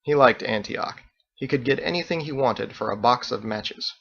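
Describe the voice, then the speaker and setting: Gravelly man narrating a story. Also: medium pitch, normal speed, a bit bright, highs cut off. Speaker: author reading own novel. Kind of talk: scripted audiobook narration.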